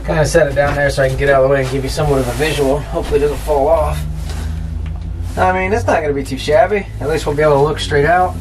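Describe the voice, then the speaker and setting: Slightly high-voiced man, noisy recording. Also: steady tone, fast talker, far from the mic. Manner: folksy, slangy, easygoing. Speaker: vlogger and channel host. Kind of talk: casual DIY vlog chat. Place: small plywood-lined camper shell on a car. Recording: clearly noisy, with ambient background sound.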